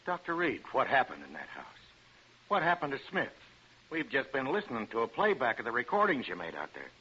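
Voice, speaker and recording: An actor playing a grave, insistent gentleman demanding answers in a 1940s radio drama. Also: medium pitch, wildly swooping pitch, fairly quick, a little hiss or hum. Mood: grave, pressing. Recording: muffled vintage 1940s radio recording.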